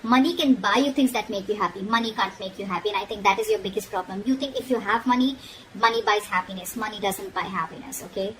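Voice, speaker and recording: A woman's voice: mid-range voice, quick pace, a bit bright, poor audio. A woman giving an informal, frank tarot reading, speaking directly to the listener and often checking in as she admonishes. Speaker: tarot reader. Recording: noticeable background noise.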